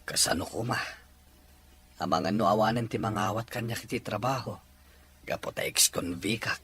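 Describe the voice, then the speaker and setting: A man playing a despairing, remorseful father just out of prison in an audio drama, sighing and pleading haltingly. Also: fairly low pitch, a bit bright, slightly rough. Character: remorseful, despairing ex-convict father returning home. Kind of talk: emotional Ilocano audio drama acting.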